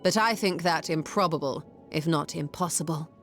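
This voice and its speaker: A woman's voice: deep voice, moderate pace, faint music behind. A woman acting a composed, analytical scholar in a video game cutscene, with precise, formal delivery. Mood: thoughtful.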